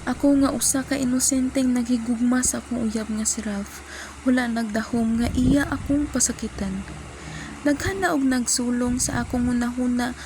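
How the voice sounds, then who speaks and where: A woman's voice: fairly high pitch, steady tone, moderate pace, background noise, close to an everyday voice. A woman playing a heartbroken young woman who narrates her own love story in a radio drama. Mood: sorrowful.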